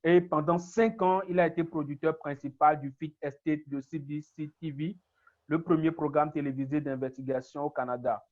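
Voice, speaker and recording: Moderator, man, formal read-through, slightly high voice, lo-fi sound. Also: steady tone, normal speed, darkish tone. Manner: formal, reads steadily. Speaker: webinar moderator. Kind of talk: reading a speaker introduction.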